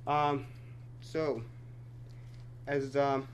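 Male academic presenter, North Sami first language, mid-pitched, halting delivery, faint background hum. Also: completely flat delivery. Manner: measured, hesitant, many filler pauses. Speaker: conference presenter. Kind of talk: academic conference talk.

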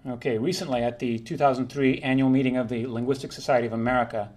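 A man presenting a prepared linguistics talk, reading in a measured, formal way and sometimes correcting himself. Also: mid-range voice, fairly even intonation, darkish tone. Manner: measured, formal delivery with occasional self-corrections.

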